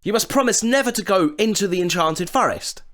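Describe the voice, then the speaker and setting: A man voicing a brave, clever young boy in a children's story, calm and confident. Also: sits high, a little fast, pitched above the performer's own voice. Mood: sly, confident.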